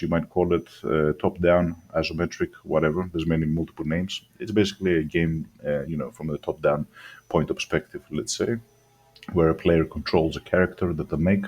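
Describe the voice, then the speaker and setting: Low husky man with a mixed accent. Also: darkish tone. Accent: mixed, partly Greek-sounding. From Australia. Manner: rambling, hesitant, many ums and restarts. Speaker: gaming channel host. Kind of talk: casual game review talk.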